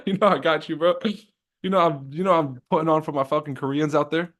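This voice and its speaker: A man's voice: a little high, steady tone, moderate pace, a bit bright. A young man hosting a trading livestream, chatting loosely with his chat, joking and swearing freely.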